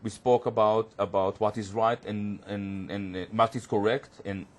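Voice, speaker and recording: Halting man, Hebrew native speaker. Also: on the low side, little pitch movement. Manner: halting, searching for words, many fillers. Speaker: panel guest. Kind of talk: studio panel discussion. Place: community TV studio.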